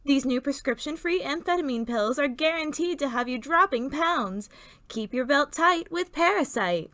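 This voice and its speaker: Actress as chirpy 1950s commercial housewife, very high voice, bright, exaggerated sales patter, dry close recording. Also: female voice, expressive, moderate pace, no room sound. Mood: perky sales pitch. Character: perky, chatty 1950s radio advertisement housewife. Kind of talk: 1950s radio commercial parody.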